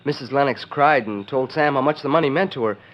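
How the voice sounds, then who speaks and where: A man's voice: mid-range voice, fast talker, lightly acted. An actor playing an earnest, eager boy sidekick in a 1940s radio western, with a youthful manner. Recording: lo-fi noisy 1940s radio recording.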